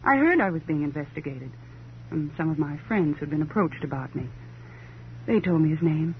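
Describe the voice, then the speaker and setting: A woman's voice: low voice, expressive, moderate pace. An actress playing a wealthy, proud divorced woman in a 1950s radio crime drama, with an arch, sardonic, flippant manner and affected society phrasing. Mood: wry, wounded pride. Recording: muffled old radio recording, hum and hiss.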